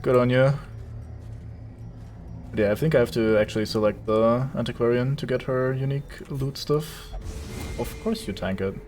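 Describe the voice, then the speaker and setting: A German-accented man streams a game and narrates his moves in a casual, wry way. He teases his own party and swears freely. His voice sits mid-pitch over faint background music. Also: normal speed, pauses often, darkish tone. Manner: sarcastic, joking with the game, swears. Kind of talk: casual gameplay commentary.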